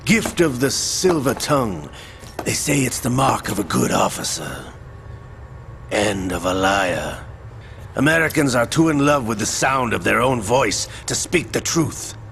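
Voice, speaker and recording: Sly mocking villain, slow gravelly drawl, theatrical. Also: male voice, mid-range voice, lively intonation, fairly bright tone, a little hiss or hum. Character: sly sneering male gunslinger villain.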